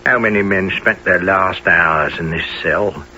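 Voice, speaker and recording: Wily old prisoner, low-pitched, slow muttering with outbursts, radio drama, slightly dull recording. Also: male voice, pushed and bright, a little hiss or hum, heavily acted. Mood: disbelieving despair. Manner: muttering, theatrical, erratic outbursts. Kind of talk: radio play acting.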